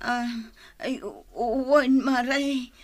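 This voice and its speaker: An actress playing a brash, argumentative married mango seller in an Ilocano radio comedy-drama. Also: female voice, on the high side, slow pace. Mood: sheepish. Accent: Filipino, Ilocano.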